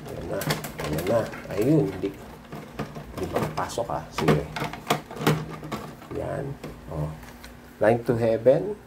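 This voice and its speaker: Filipino guy vlogging casually, really slow halting mumbly show-and-tell, lots of noise and clicking behind him. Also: low-pitched, big pitch swings, gravelly. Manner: halting, muttering to himself while handling things. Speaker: vlogger showing his cassette collection. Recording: noisy recording with handling clicks.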